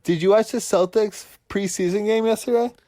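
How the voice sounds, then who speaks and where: A man co-hosting a sports talk podcast who argues heatedly and swears constantly, ranting at his brother with forceful conviction. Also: high voice, steady tone, moderate pace. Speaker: podcast co-host.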